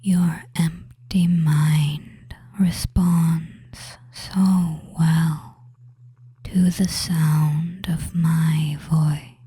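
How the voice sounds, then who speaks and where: Femboy witch hypnotist, male performer: very dark, soft whisper, flat and lulling, quietly dominant, for ASMR roleplay. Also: on the high side, full character voice. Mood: soothing, possessive. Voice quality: breathy whisper. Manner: very slow, lulling, murmured commands with long pauses.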